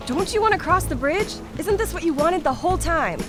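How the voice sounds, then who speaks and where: A woman's voice: very high voice, expressive, fairly quick, over music. A woman playing a bold, determined young hiker in an amateur audio drama. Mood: urgent. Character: bold, determined young woman, peacemaker among hiking friends.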